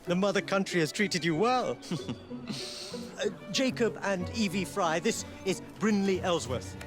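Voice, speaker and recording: A proud, animated young maharaja. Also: male voice, high voice, a little slow, music in the background. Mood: delighted. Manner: formal, aristocratic diction. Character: proud young Indian maharaja in exile, pleasure-loving, haughty. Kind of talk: video game cutscene acting.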